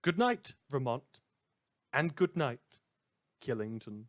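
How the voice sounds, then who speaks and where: A man playing a wry community radio host in a fan audio drama, delivering scripted narration in a measured, theatrical deadpan. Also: mid-range voice. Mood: hesitant, understated. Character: wry male community radio host telling eerie tales.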